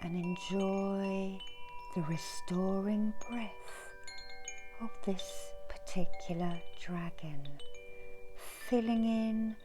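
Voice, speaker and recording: Very slow, whispery woman guiding meditation. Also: mid-range voice, steady tone, music in the background. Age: middle-aged. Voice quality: breathy, often whispered. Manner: soft, slow, soothing delivery with long pauses. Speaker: reiki healer and meditation guide. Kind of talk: ASMR guided meditation. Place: small indoor room.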